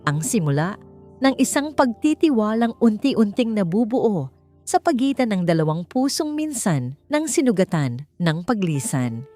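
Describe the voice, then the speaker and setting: A woman's voice: mid-range voice, big pitch swings, measured pace, warm tone. A woman with a Filipino accent narrating a scripted dramatic romance story, as a storyteller. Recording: music under the voice. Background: background music.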